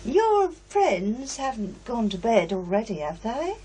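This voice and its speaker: A woman's voice: a little high, sing-song, a little slow, warm tone, a little hiss or hum, poor audio. An actress playing a flustered, homely working-class mother of two in an audio horror drama, chatty and easily alarmed.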